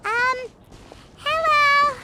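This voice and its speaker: A woman voicing a small, childlike, excitable fairy companion and guide in a video game, with a squeaky, perky delivery. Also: extremely slow, exaggerated voice. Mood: nervous, polite. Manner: perky, refers to herself in third person. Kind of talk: animated video game voice acting. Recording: music behind the voice. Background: game music.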